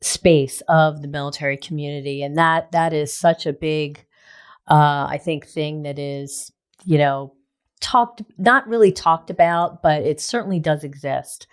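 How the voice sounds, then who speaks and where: A woman's voice: sits low, fairly even intonation, a little slow, clear, resonant tone. A woman hosting an interview podcast, upbeat and enthusiastic, asking questions with a few restarts. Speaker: podcast host. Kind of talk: casual interview.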